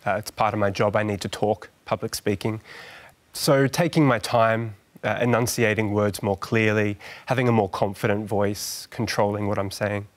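Thirties male guest, soft-voiced, gentle, measured; studio lapel sound. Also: lowish voice, fairly even intonation. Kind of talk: studio discussion. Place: large television studio.

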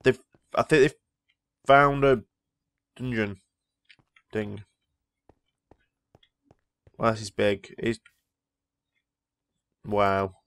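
A man's voice: lowish voice, drawn-out words, long pauses, fairly bright tone, smooth, no echo. Ill man rambling over a game. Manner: rambling, easily impressed. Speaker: Minecraft let's player. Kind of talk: casual gameplay commentary.

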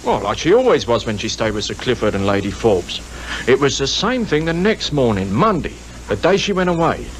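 Man doing british hotel waiter, respectful, chatty phrasing, pitch jumps about, faintly noisy old radio play. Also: sing-song, brisk, natural voice. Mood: respectful, earnest. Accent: British English. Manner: respectful, colloquial. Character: deferential male hotel waiter with homely turns of phrase. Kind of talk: 1950s radio drama acting. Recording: 1950s radio recording with faint noise.

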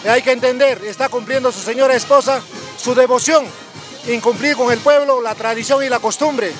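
A man offers informal thanks as a fiesta guest. He is outdoors on a handheld mic, with music clearly heard behind. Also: extremely high pitch, steady tone, normal speed, a bit bright. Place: outdoors at a crowded lunch table. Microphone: interviewer's handheld microphone. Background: music and outdoor sounds.

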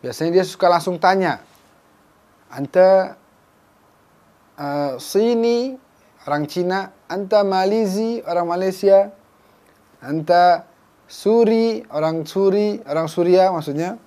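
A young man with an Indonesian accent teaching a televised beginners' Arabic lesson, repeating phrases slowly and explaining each one. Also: high voice, dark tone. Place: small dark studio with whiteboard.

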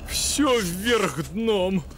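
A man voicing an anxious, fussy, kindly beaver-like gentleman in a cartoon dub, fretful and exclamatory. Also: high voice, lively intonation, extremely slow, music in the background, exaggerated voice. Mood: shocked dismay.

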